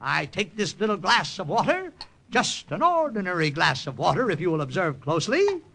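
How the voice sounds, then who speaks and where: A brash American man, a wisecracking secret agent with a magician's showy patter. His voice is bright and swoops widely in old radio drama style. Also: sits high, wildly swooping pitch, normal speed, creaky, full character voice. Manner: showy carnival-barker patter, theatrical. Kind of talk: 1950s radio drama acting. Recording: old radio recording with faint music.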